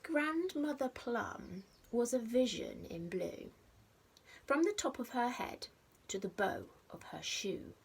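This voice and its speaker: A woman reading a picture book aloud to young children like a teacher, expressively, with dramatic pauses and stressed rhymes and sound words. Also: fairly high pitch, animated, creaky, a little hiss or hum. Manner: simple explanatory asides addressed to young children. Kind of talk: animated storybook read-aloud.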